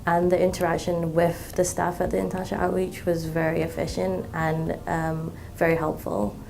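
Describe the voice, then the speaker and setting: A woman in her twenties from Malaysia, a student talking in an interview, relaxed, with many ums and restarts. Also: fairly low pitch, moderate pace, vocal fry, a little hiss or hum. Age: 20s. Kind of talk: interview testimonial.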